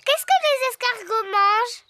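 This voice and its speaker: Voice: very high-pitched, normal speed, very noisy. An actor voicing a cheerful, curious little girl piglet in a French-dubbed preschool cartoon. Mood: playful.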